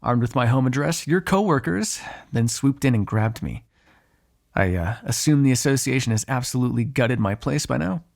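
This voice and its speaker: A man voices a snarky male vampire in an ASMR roleplay monologue. His mid-pitched voice has plenty of gravelly fry and swings animatedly as he grumbles. He comes across as sardonic and exasperated, talking as if to one companion. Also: lively intonation, moderate pace, a little mellow. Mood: wry, resigned.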